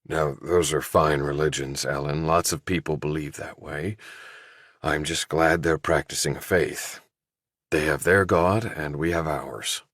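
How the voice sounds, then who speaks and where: Deep, gentle grieving father, read by a man. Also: steady tone, measured pace, no echo, studio quality, exaggerated voice. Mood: gently reproving. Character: grieving middle-aged father, a mild minister. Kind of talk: audiobook character dialogue.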